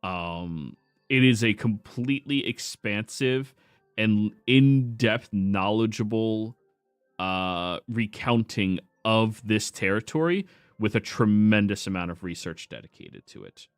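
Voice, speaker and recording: A man acting as game master, describing findings at a measured pace with frequent fillers. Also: on the low side, smooth, dry recording. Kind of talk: narrating a tabletop game.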